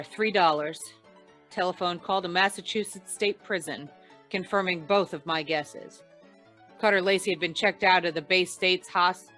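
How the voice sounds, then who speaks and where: A woman playing a wry, shrewd hard-boiled insurance investigator in deadpan 1940s radio noir style. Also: mid-range voice, lightly acted. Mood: deadpan narrating.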